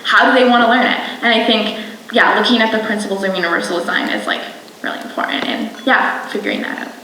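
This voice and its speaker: A woman's voice: a little high, fast talker, bright, forceful tone. A teenage girl on a student panel, answering casually with many likes and ums. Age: teens. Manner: casual, filler-heavy. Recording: very echoey room with hiss.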